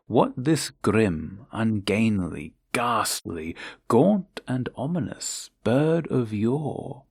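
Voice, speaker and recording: Slow, gravelly man reading gothic poetry. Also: mid-range voice, a little mellow, no echo, clean studio sound. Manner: solemn, theatrical, deliberate phrasing with long pauses. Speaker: poetry reader.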